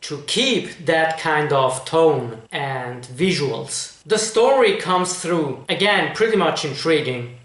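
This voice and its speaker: A man presenting a reaction review as an online host, with measured, formal wording addressed straight to viewers. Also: a little high, fairly bright tone. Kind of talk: reaction review commentary to camera. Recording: echoey, reverberant room.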